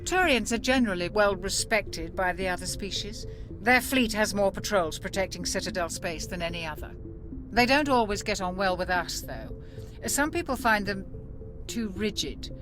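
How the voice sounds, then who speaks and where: A woman acting a cautious, sensible military doctor in a video game, speaking in a measured, reproving, matter-of-fact way. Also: medium pitch, lively intonation, close to an everyday voice. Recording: loud music behind the voice. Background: music.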